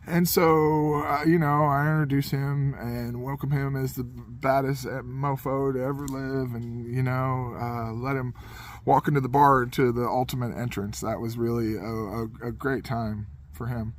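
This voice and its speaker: A man's voice: medium pitch, steady tone, unhurried. A man casually telling a comedic anecdote in a rambling, self-deprecating way, as a podcast host would.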